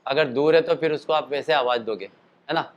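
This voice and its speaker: A man's voice: fairly high pitch, moderate pace, dull audio. A man teaching singing and voice use in a casual spoken lesson, explaining at length, with a throat tired from a show the night before. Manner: explanatory, chatty, demonstrates calls and sung notes.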